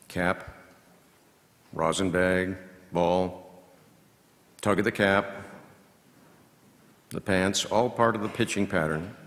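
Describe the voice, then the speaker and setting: Baseball play-by-play announcer male actor: unhurried, clipped delivery, hugely varied intonation, excitable on the action, long hall reverb. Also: sits low, exaggerated voice. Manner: measured, clipped phrases that swell into excited calls. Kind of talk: scripted radio-style baseball commentary, read as a performance.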